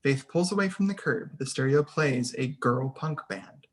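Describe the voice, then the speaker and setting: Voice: mid-range voice, lively intonation, normal speed. A narrator reading scene headings and stage directions aloud at a screenplay table read.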